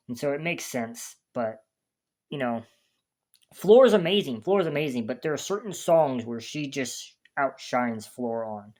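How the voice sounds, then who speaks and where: Chatty, rambling man reacting to music. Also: medium pitch. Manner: chatty, rambling, restarts sentences while searching for words. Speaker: reaction video host. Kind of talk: casual reaction commentary.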